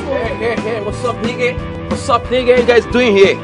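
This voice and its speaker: A man's voice: very high-pitched, fairly quick, music in the background. A man with a Nigerian accent playing a boastful drinker who puts on a showy, slangy swagger in a comedy film. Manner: showy, animated slangy posturing. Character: boastful drinker putting on a returnee-from-abroad swagger, then pleading.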